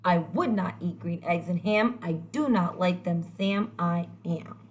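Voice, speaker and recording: A woman's voice: fairly low pitch, unhurried, a little mellow, a little hiss or hum, voice pushed down, lightly acted. Woman voicing a stubborn, theatrical storybook grouch. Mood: grumpy. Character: stubborn, fussy, exasperated grouch refusing food. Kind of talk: animated children's read-aloud character voice.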